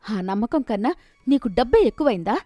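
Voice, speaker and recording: A woman's voice: a little high, lively intonation, fast, mellow, soft background music. A woman voicing an indignant village mother who accuses and demands with passion in a cartoon story. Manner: accusing, impassioned.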